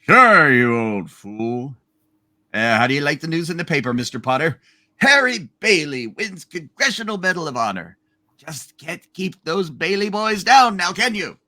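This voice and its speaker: A man's voice: a little high, sing-song, moderate pace, bright, forceful tone, full character voice. A man voicing a jolly, teasing uncle with a boisterous, taunting manner in a hammed-up radio play reading. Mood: gleeful taunting. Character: jolly, teasing, absent-minded uncle gloating over an enemy.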